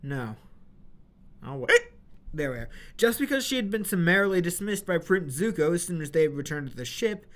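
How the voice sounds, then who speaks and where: A woman's voice: fairly low pitch, expressive, brisk. A young woman with hiccups recording audio drama narration, restarting lines and breaking into rambling, mildly exasperated asides. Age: young adult. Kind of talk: blooper outtakes, scripted narration with chatty asides.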